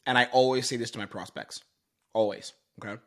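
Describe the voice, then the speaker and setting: A man's voice: mid-range voice, steady tone, a little fast. A man giving explanatory commentary as a sales coach, stressing his points emphatically and repeating phrases.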